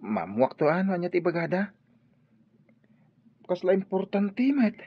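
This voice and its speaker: A man's voice: sits high, big pitch swings, moderate pace, slightly rough, dull audio, full character voice. A man playing an earnest young graduating student in a radio drama.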